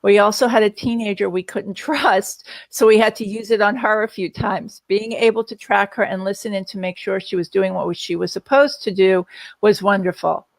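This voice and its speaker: A woman's voice: medium pitch, steady tone, normal speed, creaky, no room sound. A middle-aged woman chatting casually in a live Q&A, telling anecdotes and repeating her words as she goes. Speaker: guest parent and experienced product user answering viewer questions.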